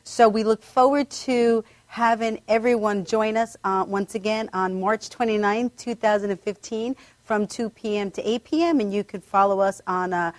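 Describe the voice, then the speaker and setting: A woman's voice: medium pitch, distant mic. A woman hosting a live web talk show, speaking effusively with frequent halts and restarts. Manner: effusive, halting, restarts often. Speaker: host.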